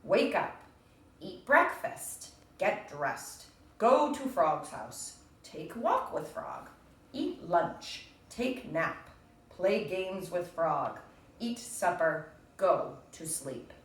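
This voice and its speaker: An earnest, list-loving storybook toad, voiced by a woman reading aloud states things deliberately. The pitch moves in lively swings, and the sound is distant in a very echoey room. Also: full character voice. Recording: echoey room, distant mic, faint noise.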